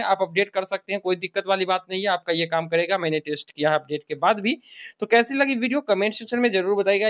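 A man's voice: high voice, no room sound. A man presenting an informal step-by-step tech tutorial, explaining things directly to viewers.

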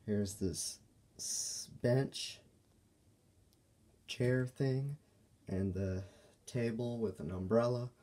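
Man, slow pace, mellow dark tone, casual toy review, halting delivery. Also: mid-range voice. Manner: halting, broken phrasing. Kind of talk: casual unscripted show-and-tell. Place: indoors at a table by a window.

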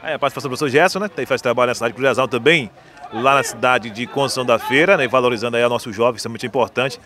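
Man in his 30s, radio reporter, rattles along very fast, tag questions. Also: mid-range voice, pushed and bright, some chatter behind. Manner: rambling, leans on tag questions. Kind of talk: outdoor interview. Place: stadium stands outdoors. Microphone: handheld mic.

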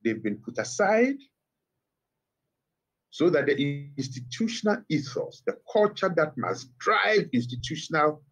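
A middle-aged man with a Ghanaian accent, a guest speaking by phone in a TV interview, measured and emphatic with pointed rhetorical questions. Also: a little high, sing-song, a bit bright, breathy edge. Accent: Ghanaian English. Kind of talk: TV interview commentary. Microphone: phone line.

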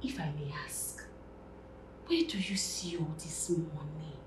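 A woman's voice: medium pitch, very animated, takes it slowly. A Nigerian woman playing a brash, vain, money-hungry young woman in a comic monologue, with sassy, exclamatory delivery. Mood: cheeky. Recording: echoey room, background noise.

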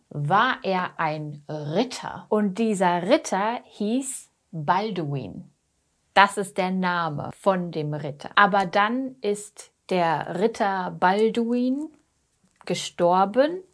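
A young woman tells simple stories as a language teacher. She speaks extremely slowly at a medium pitch, pausing between short phrases and stressing the key words. She wears a lapel mic in a small room. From Germany. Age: young adult. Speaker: German teacher. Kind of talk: slow simplified storytelling lesson for language learners. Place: small attic room indoors.

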